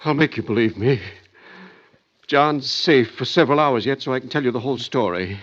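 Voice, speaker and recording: An actor playing an anxious middle-aged hospital patient in an old time radio drama, with urgent, halting, emotional delivery. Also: male voice, mid-range voice, a bit bright, natural voice. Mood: earnest recounting. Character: frantic, anxious hospital patient of forty-five. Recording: muffled vintage radio recording.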